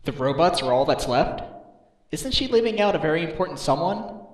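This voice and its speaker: Man acting an earnest, higher-voiced lawyer. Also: animated, a little fast. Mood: puzzled, surprised. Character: earnest, reactive male defense lawyer, mildly exasperated. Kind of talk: fan dub of a video game.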